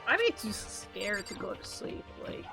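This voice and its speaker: A woman's voice: medium pitch, very animated, measured pace, gravelly, bright, forceful tone, faint music behind. A woman chatting along with a game streamer, giggling and making crude jokes. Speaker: co-player. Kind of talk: casual chat.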